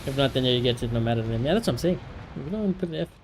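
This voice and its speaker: A man chatting casually on a livestream, reacting and ranting in an animated, blunt, sweary way. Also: mid-range voice, rapid-fire, a little hiss or hum. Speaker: live streamer.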